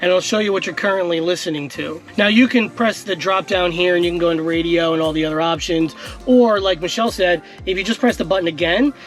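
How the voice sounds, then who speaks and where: A man's voice: high-pitched, quick pace, soft background music. Chatty man demoing a car screen. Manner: chatty, relaxed, filler-heavy. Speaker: tech vlogger. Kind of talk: casual demo walkthrough. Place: inside a parked car. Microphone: action camera in car.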